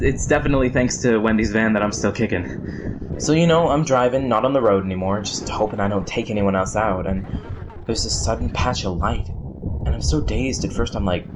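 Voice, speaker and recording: An actor playing a wistful, nerdy storm chaser who survived the apocalypse, rambling reflectively in a radio drama monologue. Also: male voice, lightly acted. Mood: wistful, candid, then awestruck. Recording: noisy radio transmission, vehicle rumble.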